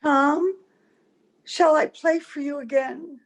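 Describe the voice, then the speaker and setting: An actress plays a gentle, wistful wife with a secret, in old-time radio style. Her voice is high and unhurried, full of tenderness, with music behind it. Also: fairly even intonation, vocal fry, full character voice, poor audio. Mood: tender. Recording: home video call with music.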